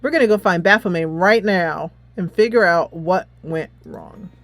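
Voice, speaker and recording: A woman hosting a let's play, chatting in expressive asides as she reads along with the game. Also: lowish voice, moderate pace, dry recording, faint music behind. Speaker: gaming channel host. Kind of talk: let's play commentary, reading game dialogue aloud.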